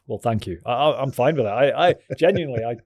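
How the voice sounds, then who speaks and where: A man's voice: medium pitch, animated, fast, darkish tone, slightly rough, no room sound. A man with a mild, polite Scottish accent, chatting casually as a podcast guest, laughing easily and swearing now and then. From Scotland.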